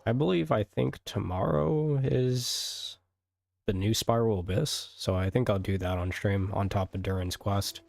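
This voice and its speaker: Low-voiced man chatting on a game stream. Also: measured pace, vocal fry, a little mellow. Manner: musing to himself, mild swearing. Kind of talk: casual stream chat while playing. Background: faint game music.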